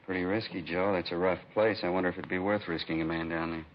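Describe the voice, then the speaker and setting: A gruff police captain played by a man with a low voice and quick, plain-spoken delivery. He sounds like a careful senior officer in a 1950s radio drama, heard through a muffled recording with faint noise. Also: fairly even intonation, close to an everyday voice. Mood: cautious, concerned.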